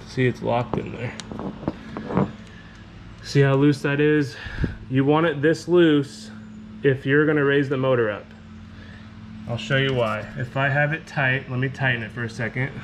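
A man, casually walking through a DIY kayak build and explaining each step as he goes. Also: mid-range voice. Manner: relaxed, explanatory, repeats himself. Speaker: fishing channel host. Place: garage. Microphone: action camera on head or chest. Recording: camera mic with clear background noise.

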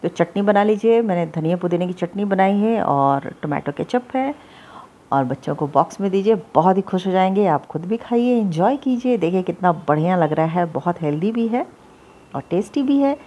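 Woman doing a home cooking video, lowish voice, keeps saying okay, easygoing chat. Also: lively intonation, normal speed, warm tone, lo-fi sound. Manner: chatty, repeats "theek hai" often. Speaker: cooking channel host. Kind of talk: casual recipe demonstration. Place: home kitchen, voice-over.

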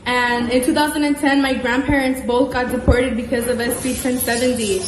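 A young woman speaks as an activist giving firm, reproachful personal testimony. Her voice is high, in an echoey tiled room with faint noise behind it. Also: little pitch movement, normal speed. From United States. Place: tiled public restroom. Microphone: handheld phone. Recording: echoey restroom phone clip, faint noise.

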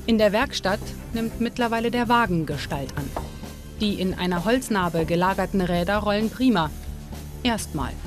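Female documentary narrator, measured and even, mid-pitched voice, voice-over laid over clear music. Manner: calm, measured storytelling. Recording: studio voice-over with music behind.

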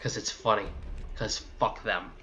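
A man's voice: lowish voice, fairly even intonation, a little slow. A man giving a casual, sweary, exasperated video game walkthrough commentary.

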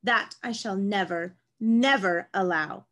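A woman's voice: medium pitch, takes it slowly, fairly bright tone. A woman in her thirties playing a proud, scheming mother, emphatic and indignant, in a play read over video call. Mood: indignant, defiant. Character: proud, scheming mother refusing her daughter's poor match. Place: small home room, video call.

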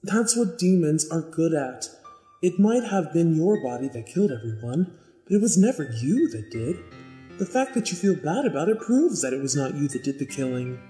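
A man's voice: fairly high pitch, very animated, moderate pace, dark tone, faint music behind. A man voicing an earnest advice-giver, reading a message insistently and reassuringly in a comic dub. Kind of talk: dramatic reading of a written message. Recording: echoey with long reverb.

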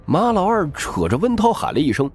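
A man's voice: sits high, huge pitch swings, a little fast, slightly rough. A man giving dramatic scripted narration of a crime novel as an audiobook narrator. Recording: music bed behind the voice.

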